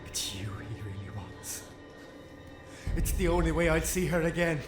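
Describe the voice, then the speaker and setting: A frantic wizard father, anxious and pleading, in a fantasy game. His voice is high and agitated, echoing with faint music behind it. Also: sing-song, normal speed. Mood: desperate pleading. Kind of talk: video game voice acting.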